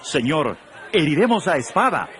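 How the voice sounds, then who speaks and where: An agitated disciple in a bible drama, voice swings a lot, crowd behind him. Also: male voice, on the high side, very animated, moderate pace, fairly bright tone, a little hiss or hum. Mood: urgent. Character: Peter, agitated male disciple, defensive and emphatic. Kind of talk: dramatized audio Bible acting.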